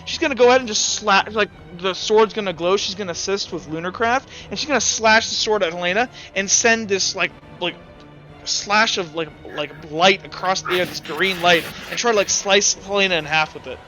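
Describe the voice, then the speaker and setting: A man's voice: sits high, brisk, sharp tone, soft background music, poor audio. A man running a tabletop game as game master, rambling with frequent restarts.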